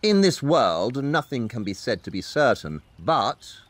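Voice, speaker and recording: A self-assured male detective, acted by a man in an audio play, reasoning aloud with theatrical care. His middle-pitched voice moves expressively up and down, and the recording is very dry. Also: big pitch swings, a little slow, no echo. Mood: knowing, teasing. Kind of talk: audio drama acting.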